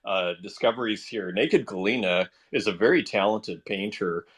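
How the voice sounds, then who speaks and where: A man's voice: lowish voice, normal speed, breathy edge. Casual man hosting an online chat. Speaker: host of an art discussion. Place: live online audio call.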